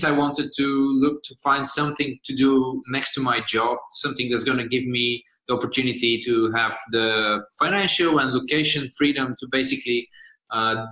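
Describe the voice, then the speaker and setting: A man's voice: medium pitch, pushed and bright, echoey room, poor audio. A man in his twenties from Bulgaria, answering interview questions earnestly, with frequent hesitations. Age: 20s. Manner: earnest, hesitant, many "uh" fillers. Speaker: guest, startup founder.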